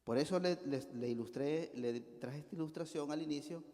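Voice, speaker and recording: A man's voice: a little high, moderate pace, mellow. A middle-aged Salvadoran man preaching a sermon to his congregation, folksy, often asking the congregation to agree with him as he tells a homely story. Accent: Salvadoran Spanish. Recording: long reverb of a church hall.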